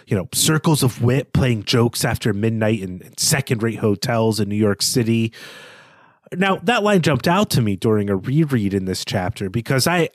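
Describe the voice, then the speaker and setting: A man's voice: mid-range voice, dry recording. Wry analytical man, dry close sound. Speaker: podcast co-host. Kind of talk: literary discussion.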